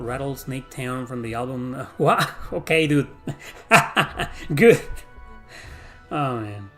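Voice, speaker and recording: A middle-aged man with a Mexican accent chats through viewer questions at a mid pitch. He talks bluntly, swears freely and rambles with lots of fillers. Faint music plays underneath. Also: fairly bright tone. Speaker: YouTube host answering viewer questions. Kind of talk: casual Q&A chat.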